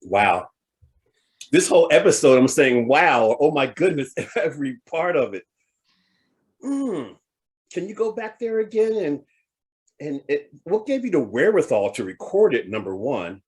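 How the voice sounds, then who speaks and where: A man's voice: a little high, very animated, a little slow. A man hosting an interview, introducing clips and reacting with frequent affirmations. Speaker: host. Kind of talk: casual interview talk.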